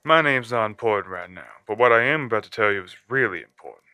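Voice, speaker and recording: Man acting a bright, taunting serial killer caller. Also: fairly low pitch, animated, fast, clear, resonant tone, no room sound, clean studio sound. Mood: urgent, polite menace. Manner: taunting, theatrical, with sinister chuckles. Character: gloating, sinister male serial killer phoning a radio show. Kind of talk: radio drama villain acting.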